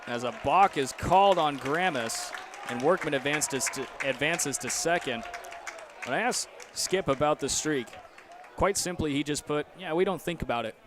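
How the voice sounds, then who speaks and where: A man's voice: on the high side, moderate pace, crowd noise, background noise. A young American man doing live play-by-play baseball commentary on a headset mic from a ballpark. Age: young adult.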